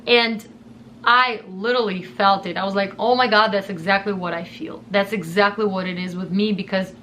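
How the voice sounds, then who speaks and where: A woman's voice: medium pitch, fairly even intonation, moderate pace, a bit bright, a little hiss or hum. A young woman from Russia with a Russian accent, telling a personal story in an earnest, emotional way and often repeating herself. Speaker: vlogger.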